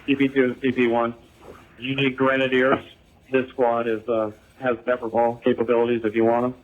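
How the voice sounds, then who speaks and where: A man's voice: mid-range voice, monotone, normal speed. A man, a police officer, talking in terse procedural phrases over police radio. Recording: very narrow, phone-like radio transmission.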